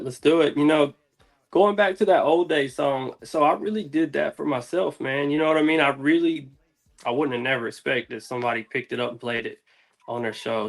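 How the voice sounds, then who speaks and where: A man's voice: medium pitch, gravelly. A man, a musician guest, talking casually and reflectively in slangy, candid, rambling interview answers.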